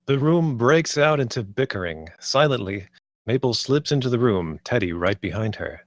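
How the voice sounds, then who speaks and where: Man, script narrator, mid-pitched, dry recording, reading stage directions. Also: expressive, normal speed, no echo. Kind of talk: scripted narration at a table read.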